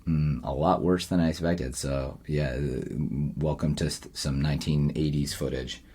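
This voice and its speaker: A man's voice: extremely low pitch, fairly even intonation, normal speed, darkish tone, breathy edge. A man giving a casual video diary update to viewers in a reflective, plain-spoken way. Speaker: vlogger running a storytelling camp for kids.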